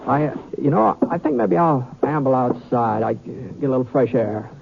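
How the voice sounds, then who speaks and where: A man's voice: mid-range voice, big pitch swings, vocal fry. Folksy gunman on hissy old radio. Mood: evasive. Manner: folksy, halting in dialogue. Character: seasoned male western gunman, weary and guarded, recounting his tale. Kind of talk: old-time radio western narration and acting. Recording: muffled, hissy vintage radio recording.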